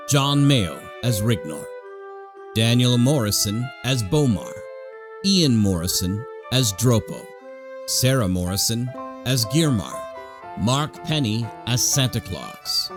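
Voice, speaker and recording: A man announcing scripted closing credits for an audio play. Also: medium pitch. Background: music.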